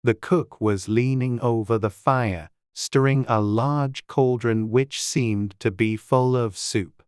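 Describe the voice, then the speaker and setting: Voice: mid-range voice, takes it slowly, a little mellow, clear, resonant tone, no room sound. Dry, close storybook narrator. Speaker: audiobook narrator.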